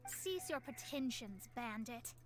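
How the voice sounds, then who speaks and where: An actress playing a haughty, sharp-tongued young female astrologist in a video game, with pompous, formal diction. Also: on the high side, lively intonation, slow, over loud music. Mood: cold, dismissive. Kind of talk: video game character acting.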